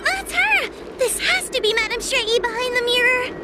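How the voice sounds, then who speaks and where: A woman's voice: extremely high pitch, animated, moderate pace, noisy background. A woman playing a timid, anxious young girl in a video game, speaking hesitantly. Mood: excited. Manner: hesitant, nervous, often faltering.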